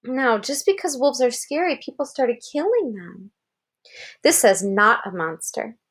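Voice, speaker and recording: A woman's voice: a little high, sing-song, measured pace, fairly bright tone, husky. An American woman reading a children's article aloud like a parent, expressively, with explanatory asides and questions to check understanding.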